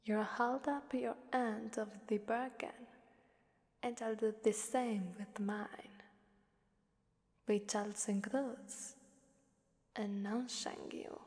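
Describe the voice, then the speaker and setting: A seductive female demon who rules a fighting arena, played by a woman. Her voice is fairly high and very slow, slipping into breathy half-whispers as she taunts with playful menace. It rings out in a long, hellish reverb, in the style of an ASMR roleplay. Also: fairly even intonation, a little mellow. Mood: pleased, scheming. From Italy. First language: Italian.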